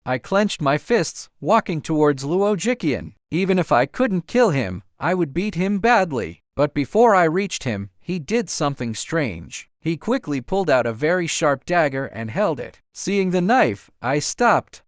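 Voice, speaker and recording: A man's voice: a little high, sing-song, a little slow, few pauses, no room sound, studio quality. A man reading a scripted first-person story as an audiobook narrator.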